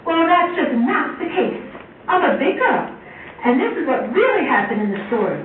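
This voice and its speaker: Casting: woman as earnest, confident little fairy-tale girl, high and talkative, puppet-show style; heavily reverberant. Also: very animated, moderate pace. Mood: assertive. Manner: earnest, explaining to children. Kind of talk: live children's puppet show storytelling. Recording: muffled, echoey far-off recording, faint noise.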